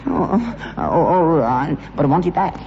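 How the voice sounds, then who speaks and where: A man's voice: on the high side, animated, a little slow, long reverb tail. An actor playing an anxious, grumbling, crafty London father in his sixties, with a querulous whine, in a British sitcom. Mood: grudging. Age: 60s. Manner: querulous, whining, indignant. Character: grumbling, anxious, crafty 65-year-old rag-and-bone father. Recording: muffled, noisy old broadcast recording.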